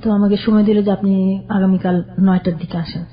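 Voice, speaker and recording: An older woman, a guest on a radio show, telling her own life story in a plain, halting way. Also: mid-range voice, flat delivery. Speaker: caller guest. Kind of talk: personal storytelling. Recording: dull lo-fi radio sound.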